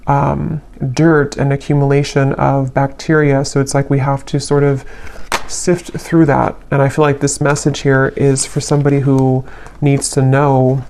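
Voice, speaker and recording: A woman giving an unscripted tarot reading in a reflective, halting way, letting her phrases trail. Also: extremely low pitch, flat delivery, warm tone. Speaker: tarot reader, channel host.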